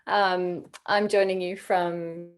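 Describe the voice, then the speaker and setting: A woman from Australia on a video call, soft-spoken and appreciative, talking casually. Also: lowish voice, flat delivery, slow pace, a little hiss or hum. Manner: warm, soft-spoken with small laughs.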